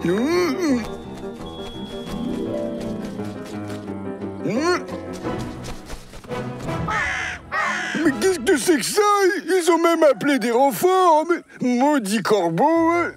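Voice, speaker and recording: An actor voicing a grumpy, excitable old grandpa farmer in a French-dubbed children's cartoon. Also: high-pitched, measured pace, full character voice. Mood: puzzled, grumbling. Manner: grumbling, exclamatory. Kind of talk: animated children's cartoon dub. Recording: loud music under the voice.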